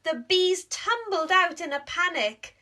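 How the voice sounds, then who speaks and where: A woman reading a children's story aloud like a primary school teacher, with expressive, dramatic emphasis. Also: extremely high pitch, measured pace. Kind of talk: animated storybook narration for young children.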